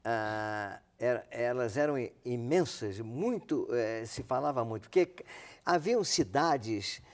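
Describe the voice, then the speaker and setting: An older man with a Brazilian accent, a radio veteran reminiscing in halting, repetitive, emphatic speech. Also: mid-range voice, lively intonation. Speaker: veteran radio drama performer. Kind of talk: reminiscing in conversation.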